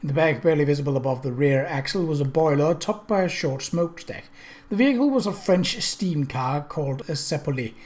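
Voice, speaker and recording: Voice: medium pitch, darkish tone. A narrator reading a novel aloud as scripted story narration. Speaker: audiobook narrator.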